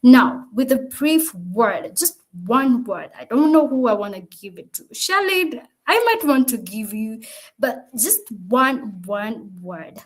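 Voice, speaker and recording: A woman moderating an online panel over a video call. She keeps things encouraging and moves speakers along with quick prompts. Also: fairly high pitch, very animated. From Kenya.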